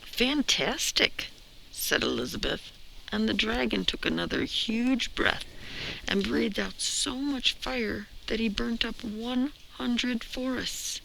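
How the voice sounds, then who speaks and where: A woman reading a children's storybook aloud with expressive, deliberate, emphatic phrasing. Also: a little high, creaky, rough recording. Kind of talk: expressive read-aloud narration. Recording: noticeable background noise.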